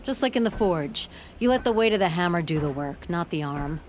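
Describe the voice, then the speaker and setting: Woman playing a low-voiced, tough forge leader. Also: lively intonation, a little fast, darkish tone, close to an everyday voice. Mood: wry. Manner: firm, blunt, folksy. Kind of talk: video game voice acting. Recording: game music behind the voice.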